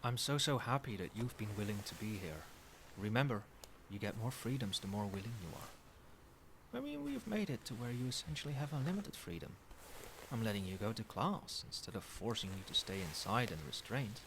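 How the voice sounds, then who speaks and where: A man playing a doting yet controlling, quietly menacing boyfriend in a soft-spoken ASMR roleplay, coaxing tenderly with pet names. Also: lowish voice, normal speed. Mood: softly menacing. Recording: steady background noise, night ambience. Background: rain and cricket sounds.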